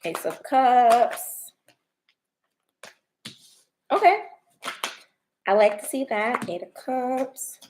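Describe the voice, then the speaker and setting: A young woman hosting a live stream, chatting casually and reading tarot cards, her voice going hoarse. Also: a little high, slight background noise, rough recording. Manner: chatty, laughs often, swears casually.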